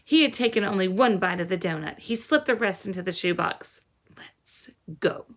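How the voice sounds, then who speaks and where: A woman's voice: mid-range voice, lively intonation, brisk, fairly bright tone. Woman reading a children's novel aloud. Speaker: reader, teacher-like storyteller.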